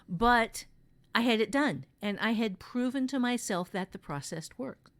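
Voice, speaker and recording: A woman with a middle-pitched voice chats as a podcast co-host and writing teacher. She is frank and emphatic, putting firm stress on her points and talking informally from her own experience. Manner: blunt, emphatic. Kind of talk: casual chat with personal anecdotes.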